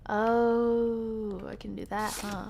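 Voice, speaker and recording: A woman's voice: fairly high pitch, flat delivery, takes it slowly, vocal fry, a little mellow, a little hiss or hum. A woman streamer giving casual live gameplay commentary, chatting to herself and her viewers in slangy asides. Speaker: video game streamer.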